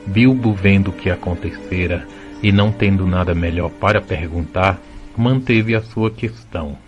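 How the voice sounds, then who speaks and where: A man with a Brazilian accent narrates a fantasy audio drama as a storyteller. His voice is fairly low, and soft music runs behind the reading. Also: normal speed, muffled recording.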